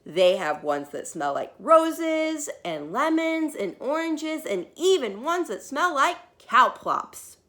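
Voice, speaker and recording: A woman's voice: high voice, very animated, slow pace, hardly any pauses, a bit bright, pitched above the performer's own voice, heavily acted. A woman voicing a persuasive, pleading little girl in a storybook, wheedling with emphasis. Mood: insistent pleading.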